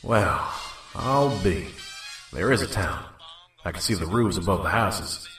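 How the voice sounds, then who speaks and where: A man voicing a world-weary, gruff pony trader in a wasteland audio drama, with a folksy, jaded drawl. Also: low voice, animated, measured pace, a bit bright, faint music behind. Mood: skeptical, jaded. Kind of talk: scripted first-person narration and audio drama. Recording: roomy, echoing, distant mic.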